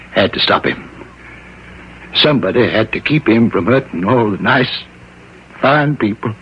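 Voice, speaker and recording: Slow-spoken, kindly old sea captain. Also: male voice, fairly low pitch. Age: older. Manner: folksy, halting, rustic speech. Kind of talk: 1960s radio drama acting. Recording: muffled, noisy old radio recording.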